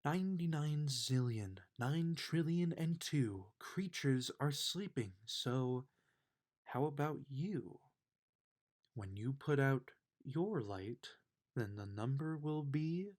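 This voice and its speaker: A man reading a children's bedtime story aloud in an expressive, unhurried way. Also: medium pitch. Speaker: storybook reader.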